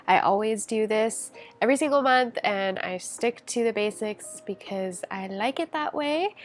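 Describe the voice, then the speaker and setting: A woman's voice: a little high, darkish tone. A young woman talking in a bubbly, rambling way and correcting herself often, a little congested and nasal as she walks through her planning. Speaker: bullet journal creator. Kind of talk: chatty vlog talk over planning footage.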